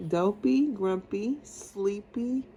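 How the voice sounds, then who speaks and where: A mother reading a storybook aloud to her child in a patient, deliberate, encouraging way. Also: mid-range voice, warm tone, breathy edge.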